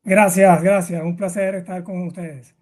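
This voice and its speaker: A middle-aged man from Venezuela speaks in a notably high voice with a Venezuelan accent. As an interview guest he explains informally, hesitating and repeating words as he searches for the next phrase. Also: steady tone, measured pace, darkish tone. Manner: hesitant, with many filler words and repetitions.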